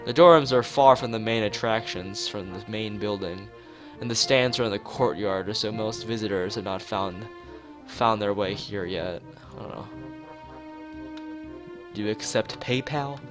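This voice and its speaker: A man's voice: on the low side, a little slow. A man streaming a live read-aloud of a visual novel, stumbling over words and rereading them, with casual asides to chat. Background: guitar music.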